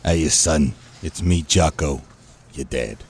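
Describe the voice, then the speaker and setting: Very deep, husky old dad. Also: male voice, slow, heavily acted. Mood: familiar. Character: long-absent old father, big, bearded, folksy. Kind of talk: comedic noir radio play acting.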